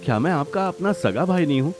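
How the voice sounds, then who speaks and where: A man's voice: on the high side, animated, fast talker, pitched above the performer's own voice, close to an everyday voice. Male actor as worried younger brother in cartoon melodrama. Earnest, pleading, respectful, with music underneath. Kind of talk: Hindi cartoon family melodrama.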